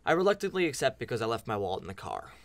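A woman's voice: very deep voice, big pitch swings, racing, heavily acted. A woman playing a wry, conniving, exasperated character with a dry sarcastic manner in a comedic audio drama.

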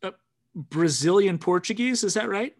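A podcast host asking questions in a halting, hesitant way, often stumbling over words. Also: medium pitch, lively intonation. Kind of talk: casual interview.

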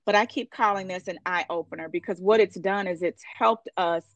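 A woman's voice: medium pitch, normal speed, dry recording. A woman hosting an interview, hyped and affirming, cheering her guest on with casual slang. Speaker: interview host. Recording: dull, dry lo-fi audio.

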